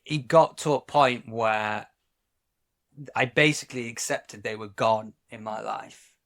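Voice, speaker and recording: Gravelly man, reflective podcast talk. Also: lowish voice, fairly bright tone. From Wales. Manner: reflective, halting, many restarts. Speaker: podcast co-host. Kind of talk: casual chat.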